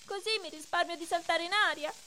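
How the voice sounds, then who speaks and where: Very high, pleading puppet boy. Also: quick pace, bright, forceful tone. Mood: anxious, reasoning. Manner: imploring, childlike. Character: naive, pleading little marble puppet boy. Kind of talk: theatrical radio drama acting.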